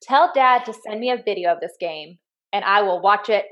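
Woman, thirties, casual chat, agreeable, easygoing, mid-pitched. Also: moderate pace, fairly bright tone. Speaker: podcast co-host.

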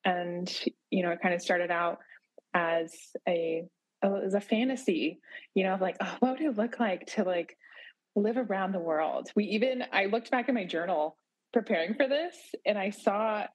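A woman in her thirties from Idaho, a podcast guest talking thoughtfully about moving abroad, pausing and restarting as she gathers her thoughts. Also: mid-range voice, no room sound, low-quality recording. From Boise, Idaho area, USA. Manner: thoughtful, with frequent restarts and hedges. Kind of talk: reflective casual conversation.

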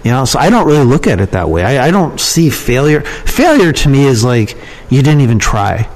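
A man's voice: mid-range voice, big pitch swings, fairly quick, a little mellow, rough recording. A man answering interview questions as a guest, casual and plain-spoken, often repeating words for emphasis. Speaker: podcast guest, email marketer and copywriting trainer.

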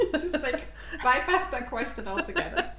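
A woman's voice: sits high, lively intonation, normal speed, bright, forceful tone, echoey room. A woman co-hosting a podcast, playful and teasing in her remarks. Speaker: podcast co-host. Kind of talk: casual interview chat.

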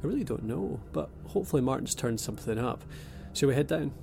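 A man voicing a dry-humoured young abductee with a gravelly, creaky edge to his voice. Over a prominent music score in an audio drama, he keeps a sardonic calm. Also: medium pitch, fast talker. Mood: wry. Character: wry young man named Paul, abductee.

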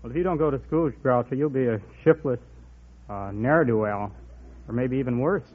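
A man's voice: mid-range voice, moderate pace, phone-quality sound. A man answering earnestly as a contestant on an old, noisy radio quiz show. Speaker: quiz contestant, school attendance officer.

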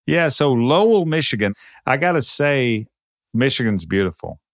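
A man from Texas with an American accent, speaking conversationally in short, deliberate phrases as a podcast host. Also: mid-range voice, big pitch swings, no room sound, studio quality. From Texas, United States. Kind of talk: podcast introduction and casual interview.

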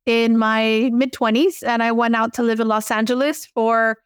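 A woman's voice: fairly high pitch, normal speed, clear, resonant tone, no echo, studio quality. Outgoing Cuban American woman from Miami. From Miami, Florida. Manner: outgoing, animated storyteller. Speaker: podcast guest. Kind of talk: casual interview chat.

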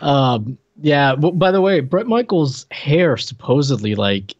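A man's voice: a little high, big pitch swings, unhurried, husky, dry recording, muffled recording. A man co-hosting a podcast in casual comedic chat, informal and slangy, with an amused way of talking. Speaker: podcast co-host. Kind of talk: casual comedic chat about a reality TV show.

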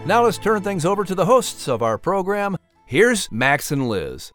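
A man announcing and narrating a children's audiobook in an animated scripted storytelling style. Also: high voice, moderate pace, faint music behind.

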